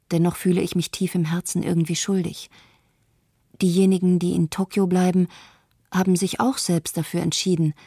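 Woman reading as a reflective mother, soft mellow voice. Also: fairly low pitch, breathy edge, no room sound, clean studio sound. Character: thoughtful Tokyo mother who fled with her daughters, troubled by guilt. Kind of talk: scripted first-person narration in a radio documentary play.

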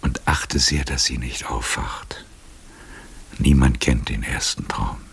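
An older man acting in a German radio drama as a weary, tender, melancholy old aristocrat, speaking verse in a hushed, measured way. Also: a bass voice, steady tone, vocal fry, in a whisper, heavily acted. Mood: quiet, urgent. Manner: hushed, slow, measured delivery.

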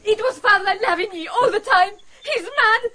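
A woman's voice: normal speed, background noise. A woman playing a terrified, hysterical woman in a radio drama, with a panicky manner. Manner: shrill, panicky.

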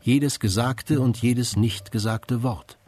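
A man narrating a radio feature in a measured, composed, scripted way. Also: mid-range voice, darkish tone, faint music behind.

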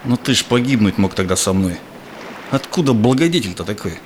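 An actor playing a proud, cynical Cossack robber in a rhymed verse audio play, declaiming emphatically. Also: male voice, fairly low pitch, expressive, fairly quick, background noise, close to an everyday voice. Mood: wary, puzzled.

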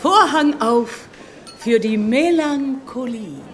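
An actress playing an effusive, theatrical high-society hostess and former opera diva in a radio drama, affected and dramatic. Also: female voice, lively intonation, slow, a bit bright, heavily acted. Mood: gushing.

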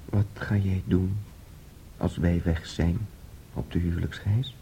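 An actor in a Dutch radio play playing a gentle, childlike, dreamy young man with a soft, breathy voice and naive manner. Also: deep voice, fairly even intonation, measured pace, exaggerated voice. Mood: hesitant. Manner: boyish, gentle, naively imaginative. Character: Thomas, soft, childlike, dreamy, easily swayed young brother.

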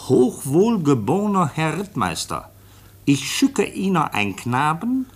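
A man playing a police official in a radio play, matter-of-fact and explanatory, with a husky voice. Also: fairly high pitch, very animated, full character voice. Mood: deliberate reading. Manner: matter-of-fact, explanatory, slow and deliberate when reading aloud. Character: brisk male police official reporting on a case.

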